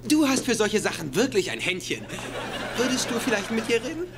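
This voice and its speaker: Casting: awkward young sitcom guy, hesitant, stammering, very animated intonation, over loud background noise. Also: high voice, brisk, heavily acted. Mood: insecure. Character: awkward, insecure young man, nervous about relationships. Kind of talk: dubbed sitcom dialogue.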